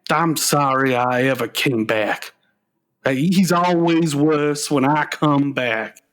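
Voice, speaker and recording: Radio comedy son: male actor as sulky, plaintive would-be country singer, unhurried, halting drawl. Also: a little high, a bit bright. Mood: defensive, glum. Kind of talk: comedic radio play acting.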